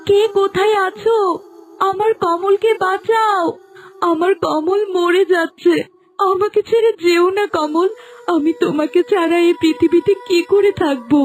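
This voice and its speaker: A woman's voice: very high-pitched, unhurried, soft background music. A woman playing a devoted young woman in love, pleading melodramatically in a cartoon. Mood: desperate pleading.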